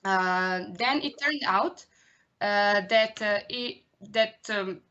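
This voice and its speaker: Young serbian woman, around 20, bright voice, halting careful talk on a video call. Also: medium pitch, clear, resonant tone, muffled recording. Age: about 20. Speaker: youth portal editor, conference speaker. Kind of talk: conference presentation.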